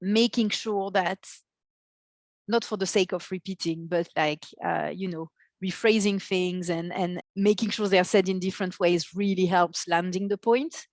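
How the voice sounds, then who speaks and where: A woman's voice: lowish voice, steady tone, moderate pace, no echo. A woman answering as a podcast guest in a conversational, explanatory way. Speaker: podcast guest, marketing executive.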